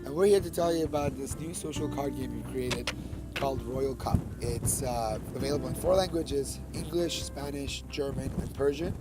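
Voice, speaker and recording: Man pitching over background music. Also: normal speed. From California, United States. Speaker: game founder presenting a crowdfunding pitch. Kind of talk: promotional storytelling to camera.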